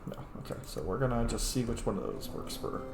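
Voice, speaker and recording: A man streams a game with casual commentary, often muttering to himself at a medium pitch. The sound is roomy, with clear game noise behind him. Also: sing-song, a little fast. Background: video game ambience.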